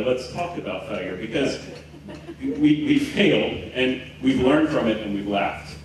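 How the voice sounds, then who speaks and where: Houston guy in his 40s doing a jokey talk in a tent, far off mic, tons of echo. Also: mid-range voice. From Houston, Texas. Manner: chatty, jokey, lots of fillers and restarts. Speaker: conference speaker. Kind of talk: live conference talk. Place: large tent at an outdoor festival. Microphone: handheld mic. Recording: roomy tent captured from a distance.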